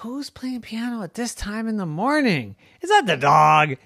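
Storyteller doing a confused mom from a kids story, raised pitch, big surprised questions. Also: very animated, normal speed, gravelly, fairly bright tone, no echo, clean studio sound, heavily acted. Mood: puzzled. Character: puzzled, exclaiming mother in a children's story.

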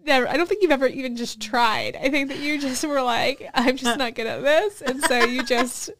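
Woman, podcast co-host, casual chat, teasing and playful, higher pitch. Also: normal speed, a bit bright.

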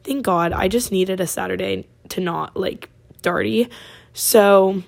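A college-age woman from Oregon hosting her podcast, chatting casually with a raspy voice and lots of fillers. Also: medium pitch, slow. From Oregon, USA. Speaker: podcast host. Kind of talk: casual chat.

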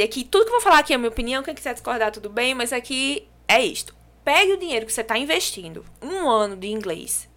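A young Brazilian woman from João Pessoa chats on a podcast, telling her story with lively energy. Her voice is bright and fairly high, with a clear, forward ring. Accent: Brazilian Portuguese, from João Pessoa. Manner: lively, animated storytelling with restarts and laughs. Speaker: podcast guest. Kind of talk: casual podcast chat.

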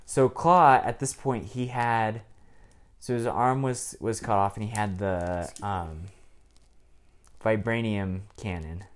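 A man's voice: fairly low pitch, takes it slowly. A man in casual chat, retelling cartoon plots at length with many ums and restarts.

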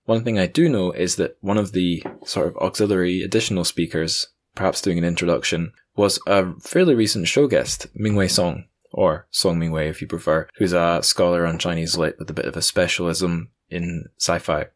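A man's voice: low-pitched, fairly even intonation, normal speed, hardly any pauses. A man hosting a podcast in a casual, chatty way with wry asides. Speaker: podcast host.

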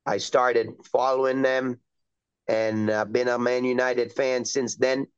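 A middle-aged man from Trinidad and Tobago chats easily as an interview guest. He wanders through his answers with plenty of ums and you-knows. His voice is smooth and resonant, though the recording sounds a little dull and lo-fi. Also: mid-range voice, flat delivery, unhurried. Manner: easygoing, rambling with many fillers. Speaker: soccer coach, guest. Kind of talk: casual interview chat.

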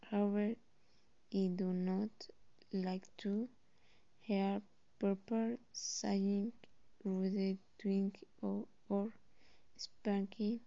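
A teenage girl with a Costa Rican Spanish accent speaks very slowly and haltingly, stopping to correct herself. Her voice is dark and mellow, with a flat, even pitch, recorded dry and a little dull. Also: no room sound. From Costa Rica. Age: teens. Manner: halting, with pauses and self-corrections. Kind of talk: reading a personal introduction.

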